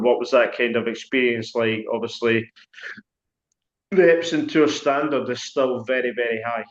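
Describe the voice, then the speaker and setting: A man hosting a golf podcast who asks casual, rambling questions full of "like" and "um". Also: medium pitch. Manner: rambling questions, heavy "like" and "um" fillers. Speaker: podcast host. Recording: roomy, dull lo-fi sound.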